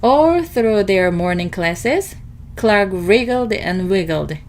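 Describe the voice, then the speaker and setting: A woman narrates a children's story as a read-aloud. Her delivery is slow and expressive, with pitch that moves up and down a lot. There is faint noise in the background. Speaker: storybook reader.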